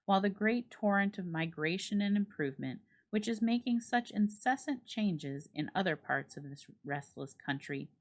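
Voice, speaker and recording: A woman narrating a classic short story as a dramatic literary reading, in a measured, expressive storytelling manner. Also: lowish voice.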